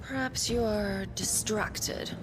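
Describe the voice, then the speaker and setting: A woman's voice: mid-range voice, steady tone, takes it slowly, music in the background, lightly acted, low-quality recording. A woman playing a haughty, menacing noble villain with coolly seductive manners in a video game. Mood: cool, taunting. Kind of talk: video game cutscene acting.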